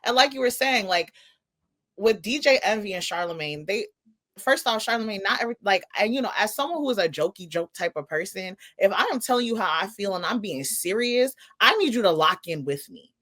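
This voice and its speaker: A woman's voice: mid-range voice, expressive, brisk, fairly bright tone. A woman co-hosting a talk podcast, giving casual, opinionated entertainment commentary in informal, slangy, emphatic phrasing. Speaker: podcast co-host.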